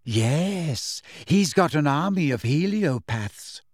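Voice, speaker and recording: A man voicing a solemn, dreamy, stubbornly credulous teenage girl with a drawn-out delivery in a storybook. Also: on the high side, expressive, no room sound, studio quality, voice pushed up. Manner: solemn, drawn-out, wide-eyed conviction.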